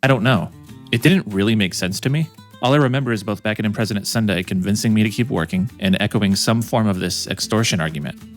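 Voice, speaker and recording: A man with a somewhat low voice tells his own story quickly in scripted first-person narration. His tone is reflective and a little conflicted. Soft guitar plays behind him. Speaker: video editor. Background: soft guitar music.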